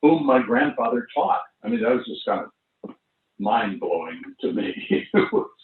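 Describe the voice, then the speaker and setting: A man in his seventies or older telling personal stories casually, in an unhurried, halting, anecdotal way, over roomy, distant, thin internet audio. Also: mid-range voice, sing-song, phone-quality sound. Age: 70s or older. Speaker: veteran performer telling stories. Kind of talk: casual reminiscing at an online launch party.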